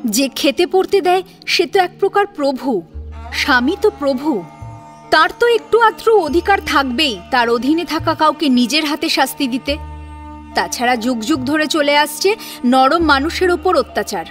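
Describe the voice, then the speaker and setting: A woman narrating a scripted story in an expressive, dramatic storytelling style. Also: high-pitched, moderate pace, soft background music.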